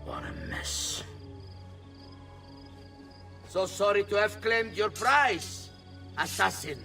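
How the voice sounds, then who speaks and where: An actor playing a cold, menacing villain mastermind in a video game, calm one moment and furious the next. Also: male voice, sits high, takes it slowly, vocal fry, far from the mic, soft background music, heavily acted. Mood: calm menace. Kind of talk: cinematic video game acting.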